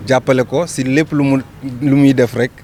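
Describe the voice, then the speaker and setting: A man's voice: medium pitch, steady tone, moderate pace, a little mellow. A man with a Senegalese accent giving a spontaneous, sincere testimonial and repeating himself as he goes.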